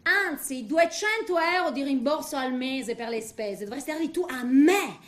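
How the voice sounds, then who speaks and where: A woman's voice: high voice, lively intonation, moderate pace, a bit bright. A woman playing a vain, snobbish, flirtatious businesswoman in a comic stage monologue, theatrical and gushing, dropping in French exclamations. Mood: mocking, haughty. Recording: roomy, echoing sound.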